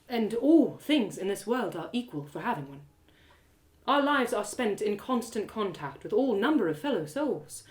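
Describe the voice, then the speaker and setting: A woman plays a solemn female elf warrior in an audio drama. She is calm and measured, recorded in a roomy space. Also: medium pitch, close to an everyday voice.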